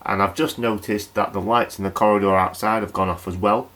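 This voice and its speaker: A man's voice: fairly low pitch, steady tone, a little fast, fairly bright tone, natural voice. An actor playing a chatty, wry male local radio night host. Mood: mildly flustered.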